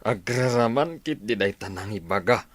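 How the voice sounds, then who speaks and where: An actor with a Filipino accent playing a proud, stubborn, ailing village president in a radio drama, blustering and emphatic. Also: male voice, fairly low pitch, expressive, normal speed, a bit bright, dry recording. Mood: indignant. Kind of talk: Ilocano radio comedy drama acting.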